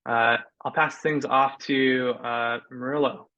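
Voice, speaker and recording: A man leading an online meeting, talking casually with many pauses and fillers. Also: medium pitch, steady tone, sharp tone, dull audio. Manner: hesitant, many ums and uhs. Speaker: club meeting organizer.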